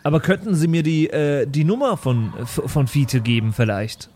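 A man's voice: fairly high pitch, normal speed, dark tone, slight background noise. A man improvising in-character in a role-playing game, earnest and often stammering over his words. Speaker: player in a pen and paper audio show.

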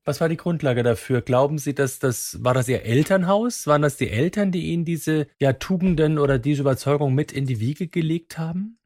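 A man hosting a business podcast, reading a measured, formal introduction and asking the guest questions. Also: on the high side, darkish tone, no room sound, studio quality. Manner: measured, formal presenter delivery. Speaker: podcast host. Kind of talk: scripted intro and interview questions.

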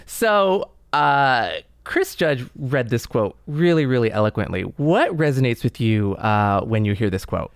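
A man's voice: mid-range voice, expressive, a little slow, few pauses, clear, resonant tone, no room sound, studio quality. A man hosting a podcast in an enthusiastic, casual conversational style. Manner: enthusiastic, effusive. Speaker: podcast co-host.

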